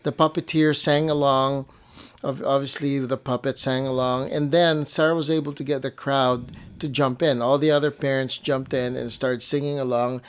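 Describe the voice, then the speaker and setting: Medium-pitched man explaining casually. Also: level tone, moderate pace, smooth. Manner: informal, repeats and rephrases to clarify. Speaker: show co-host.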